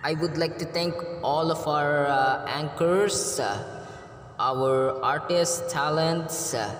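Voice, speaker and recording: A man with a Filipino accent giving a thank-you speech as a company chief, halting and hesitant with frequent fillers. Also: a little high, fairly bright tone, a little hiss or hum. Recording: echoey hall with music behind.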